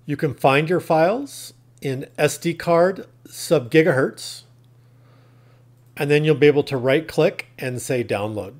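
Male tutorial narrator, pitch a little above average, plain methodical step-by-step instruction. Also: unhurried. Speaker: tutorial host.

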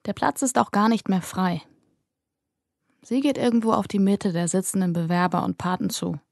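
Calm woman narrating a fantasy audiobook. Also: medium pitch, normal speed, vocal fry, dry recording, studio quality.

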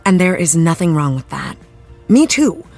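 A woman's voice: on the low side, very animated, normal speed, gravelly, a little mellow, faint music behind. A woman playing an earnest, thoughtful TV actress in an interview, searching for her words in a conversational way. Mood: sincere, emphatic. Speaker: interviewed actress, after-show guest.

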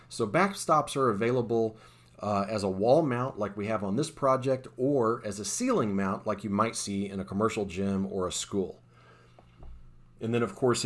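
A man, an installer, talking through the steps of a job in a plain, technical voice-over, with frequent uh's and restarts. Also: fairly low pitch. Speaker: sport court installer. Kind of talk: voice-over explaining a time-lapse install.

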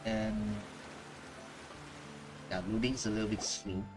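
A man's voice: on the low side, fairly even intonation, very noisy. A young man giving casual, offhand reaction commentary at home, recorded at his desk. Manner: slow, offhand remarks. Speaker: gaming reaction streamer.

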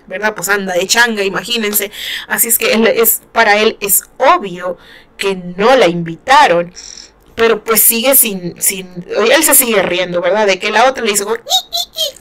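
A woman with a northern Mexican accent chatting casually about a novel she is reading, giggling and sighing as she comments. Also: fairly high pitch. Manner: giggly and expressive, sighing often. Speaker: book reaction host.

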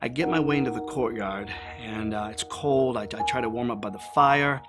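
A man playing an anguished, guilt-ridden fisherman disciple in a first-person dramatic monologue, halting and conversational like an everyman. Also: mid-range voice, music in the background, lightly acted. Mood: haunted, subdued.

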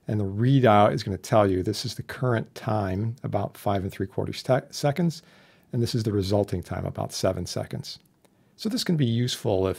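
Male instructor, low-pitched and raspy, informal screen-tutorial delivery with plain explanations. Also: a little mellow. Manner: informal, explanatory with homely analogies. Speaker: instructor demonstrating audio software. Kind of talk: casual software tutorial narration. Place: indoor voice-over for a screen recording.

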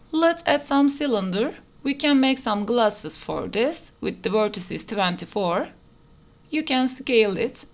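A woman narrating a step-by-step 3D modeling tutorial in plain, instructional phrasing, recorded as a voice-over. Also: on the high side. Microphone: voice-over over screen recording.

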